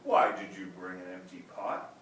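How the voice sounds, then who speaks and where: A man acts out a very old storybook emperor, stately, kindly and commanding. He declaims loudly in a very echoey room, some way from the mic, with noise behind. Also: deep voice, little pitch movement, normal speed, far from the mic. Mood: stern.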